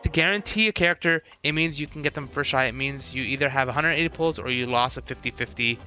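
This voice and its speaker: Bright-voiced man chatting on a stream. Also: medium pitch, quick pace, faint music behind. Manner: thinks aloud, chatty with viewers. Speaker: live streamer. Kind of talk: casual live stream reaction chat.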